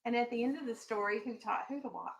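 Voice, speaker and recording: A woman's voice: a little high, fast, heavy background noise. A woman elementary school teacher leading a classroom reading lesson and read-aloud, asking questions and instructing firmly. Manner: instructional, questioning, firm with pupils.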